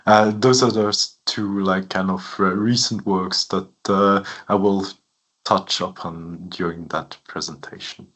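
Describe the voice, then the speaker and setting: Lower-pitched Swiss man in his thirties, native French and German speaker, professor giving a seminar, easygoing, lots of "uh" and "like". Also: a little slow. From Switzerland. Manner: frequent fillers, relaxed and hesitant phrasing. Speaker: guest lecturer, professor. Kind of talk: academic seminar talk.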